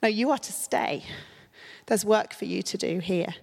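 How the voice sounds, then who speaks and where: A woman church leader preaching a sermon, her voice a little croaky from a cold, talking conversationally with plenty of fillers. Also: medium pitch, a little slow, a little mellow. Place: church hall. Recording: long hall reverb.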